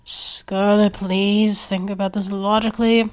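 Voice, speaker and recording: A woman's voice: mid-range voice, flat delivery, unhurried. A woman performing an acted ASMR roleplay.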